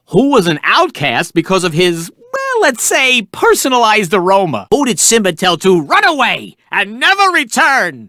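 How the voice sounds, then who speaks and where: A man's voice: sits high, sharp tone, dry recording, studio quality, exaggerated voice. A man voicing a brash, wisecracking cartoon meerkat who hosts a video game quiz with theatrical joking asides. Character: wisecracking, hammy cartoon male meerkat sidekick. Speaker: quiz game host character.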